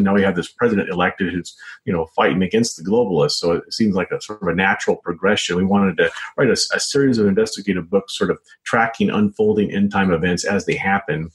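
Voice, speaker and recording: An American man answering as an interview guest in a conversational way, halting, often repeating words and saying "you know". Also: low-pitched. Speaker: interview guest, author and journalist.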